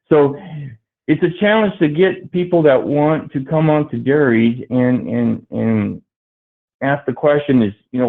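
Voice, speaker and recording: Hesitant man on a tinny call. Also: mid-range voice. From Brazil. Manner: halting, many fillers and restarts. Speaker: dairy farmer panelist. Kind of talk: livestream panel talk. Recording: tinny phone-like video call audio.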